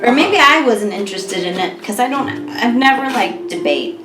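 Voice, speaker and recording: An American woman in her thirties co-hosting a podcast, chatting casually with clear, careful diction and frequent laughter. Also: on the high side, animated, moderate pace. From United States. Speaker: podcast co-host. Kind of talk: casual chat. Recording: echoey room with music behind.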